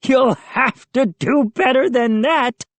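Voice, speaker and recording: A woman voicing gleeful, mischievous gnomes in a shrill, taunting, chanting way for a storybook. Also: slow, dry recording.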